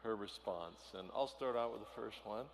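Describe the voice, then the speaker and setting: A man hosts an unscripted stage interview, his voice pitched slightly low. He trips over his words and keeps restarting. A long hall reverb and faint hiss surround him. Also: creaky. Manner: halting, restarts often. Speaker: interviewer, moderator. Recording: echoey hall, faint noise.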